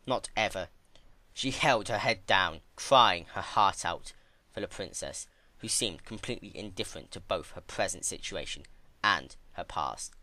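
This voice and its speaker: A man narrates a story in a dramatic reading. His voice is fairly low with a forceful, bright edge and wide swings in pitch, recorded close and dry. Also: animated, a little slow, no room sound. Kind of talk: dramatic scripted narration of fan fiction.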